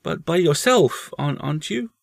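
A man's voice: a little high, expressive, normal speed, gravelly, no echo, studio quality, pitched above the performer's own voice. A man voicing a timid, earnest young boy who asks wondering, insistent questions in a storybook reading. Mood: insistent, questioning.

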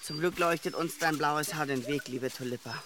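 A man's voice: fairly high pitch, normal speed, background noise, lightly acted. An actor playing a curious young troll boy who asks eager questions, in a children's radio play.

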